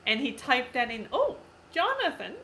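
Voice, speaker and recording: A woman's voice: high voice, huge pitch swings, normal speed, sharp tone, a little hiss or hum. A woman in her thirties whose first language is Cantonese, hosting a casual live stream, reading comments aloud, giggly and repeating herself. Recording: echoey room.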